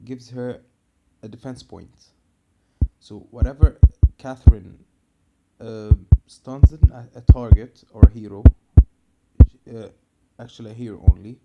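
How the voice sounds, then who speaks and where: A man from Egypt with an Egyptian accent, explaining a game character build in a casual fan video, hesitant with many fillers and restarts, and suddenly loud when stressing a point. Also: medium pitch, heavy background noise. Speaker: gamer making a fan video.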